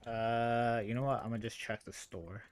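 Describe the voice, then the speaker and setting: A man's voice: medium pitch, steady tone, normal speed. A man doing casual live game commentary, informal and muttering to himself as he thinks aloud. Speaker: game streamer.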